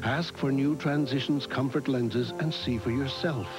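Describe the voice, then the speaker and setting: A man's voice: medium pitch, a little slow, rough recording. A man reading a scripted advertising voice-over as a commercial announcer. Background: music.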